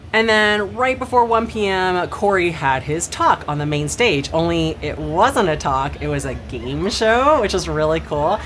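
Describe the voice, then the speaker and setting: A woman's voice: sits low, a bit bright. A woman in her thirties narrating a casual vlog in a chatty, upbeat way, laughing often. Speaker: vlogger.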